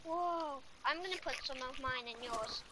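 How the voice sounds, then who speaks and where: A female speaker role-playing excitedly during a video game in playful, exclamatory make-believe talk, on a home recording. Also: high voice, moderate pace, background noise.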